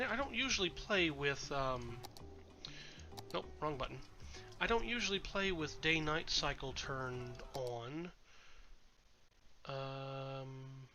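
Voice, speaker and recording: A man's voice: a little high, background noise. A man with a cold, slightly nasal and congested, chatting casually and correcting himself as he streams a city-building game. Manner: rambling, chatty, self-correcting. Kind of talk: casual gameplay commentary.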